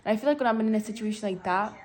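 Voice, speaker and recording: A woman's voice: medium pitch, rapid-fire, darkish tone. A teenage girl answering casually in an interview, hesitant and leaning on youthful filler words. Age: teens. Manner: hesitant, youthful filler-heavy phrasing with frequent "like". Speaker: interviewee, daughter.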